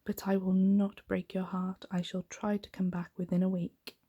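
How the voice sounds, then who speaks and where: Tender young storybook heroine, voiced by a woman reader: earnest, soft, breathy, mellow. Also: medium pitch, monotone, moderate pace, no room sound, highs cut off. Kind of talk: soft-spoken bedtime storybook reading.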